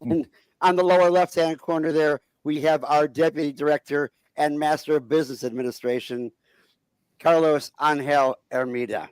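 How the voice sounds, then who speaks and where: A man's voice: on the high side, fairly even intonation, moderate pace, a little mellow, smooth, dry recording, poor audio. A man hosting an online talk show over a video call, introducing guests and asking questions in a chatty, upbeat way. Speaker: show host.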